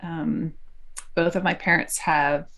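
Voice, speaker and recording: A middle-aged woman from New Jersey, a podcast guest speaking thoughtfully with many pauses and fillers. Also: sits low, steady tone, fairly bright tone. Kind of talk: conversational interview.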